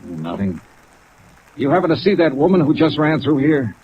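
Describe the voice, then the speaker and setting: A man's voice: a little high, sing-song, normal speed, a little hiss or hum. An American actor playing a terse, wry insurance investigator who narrates his case in a clipped 1950s radio detective style. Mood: probing. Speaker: lead actor in detective serial. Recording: tinny 1950s radio, music and rain behind.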